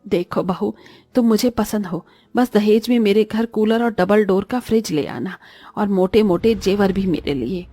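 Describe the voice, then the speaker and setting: A woman performs a spiteful cartoon mother-in-law, nagging and taunting with melodramatic relish. The voice sits at a medium pitch in a dry recording, with faint music underneath. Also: moderate pace, mellow, no echo, natural voice. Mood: scheming, greedy. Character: spiteful, greedy, scolding Indian mother-in-law. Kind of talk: Hindi cartoon story voice acting.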